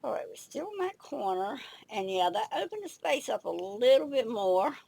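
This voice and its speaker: Raspy older woman chatting through a craft. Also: fairly high pitch, very animated, moderate pace, a little mellow. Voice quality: gravelly. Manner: chatty, thinks aloud while working. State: slight head cold, raspy. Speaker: craft video host. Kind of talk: casual crafting tutorial.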